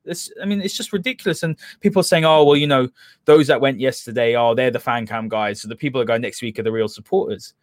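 A man's voice: mid-range voice, fast talker, dry recording. London man with a very dry sound. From London area, England. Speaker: football podcast host. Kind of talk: casual opinionated discussion.

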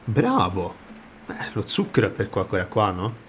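A man playing a gruff hired tough in an audio drama, chatty but easily rattled. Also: on the low side, wildly swooping pitch, fairly quick, fairly bright tone, soft background music. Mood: chatty, mocking.

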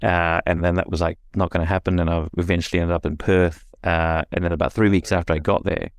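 A man from Singapore talking as an interview guest, halting and self-deprecating, laughing at himself. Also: sits low, flat delivery, no room sound, studio quality. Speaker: podcast guest. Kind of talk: casual interview conversation.

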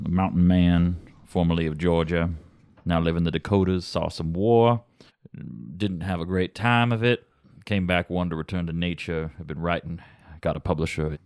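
A man voicing a gruff, formal mountain man, a war veteran turned writer, in clipped phrases for a roleplaying audio drama. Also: deep voice, darkish tone, no echo, studio quality, lightly acted.

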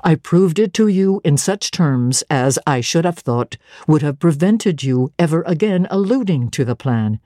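A woman voicing a stern, cold male clergyman who speaks in a low, measured and severe way. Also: expressive, warm tone, no echo, clean studio sound. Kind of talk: dramatic reading of a classic novel.

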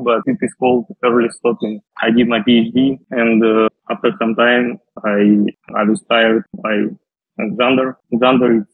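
A man with a Russian accent giving halting, hesitant answers as an interview guest. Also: mid-range voice, vocal fry, husky. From Russia, western Siberia. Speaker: podcast guest, data scientist. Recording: muffled phone-like line, roomy echo.

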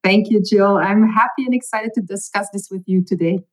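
A woman's voice: medium pitch, a little fast, poor audio. Flemish-accented woman telling her story. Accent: Flemish Belgian. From Belgium. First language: Dutch. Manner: earnest, reflective storytelling with fillers. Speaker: chief medical officer, guest. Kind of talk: podcast interview.

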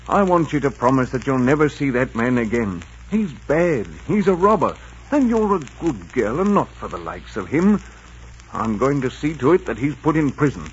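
An actor playing a stern, protective innkeeper father with a rough voice, in 1940s radio drama style. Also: mid-range voice. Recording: tinny, narrow-band 1940s radio recording.

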